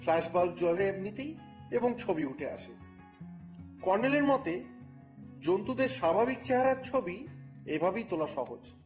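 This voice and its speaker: Audio story lead: wry, exasperated reporter telling his detective tale, high-pitched male, music bed behind. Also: sing-song, normal speed, long pauses, darkish tone. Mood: wry, narrating. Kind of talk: dramatized first-person story narration.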